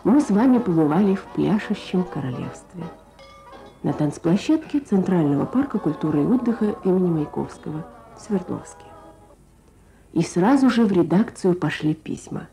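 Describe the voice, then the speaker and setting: Woman radio journalist, slightly low voice, measured scripted Soviet-era delivery over clear music. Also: animated, warm tone, low-quality recording. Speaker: journalist, radio programme author and presenter. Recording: archival Soviet radio broadcast.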